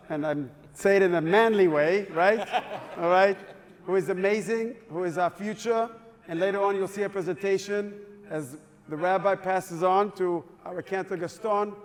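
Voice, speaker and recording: A man with a high, bright voice rallies donors in a long-echoing hall, his upbeat, playful coaxing carrying over a murmuring crowd. He sounds like an emcee working the room. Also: a little slow. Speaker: fundraising emcee. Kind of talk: live fundraising appeal to a crowd. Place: synagogue hall at an evening event.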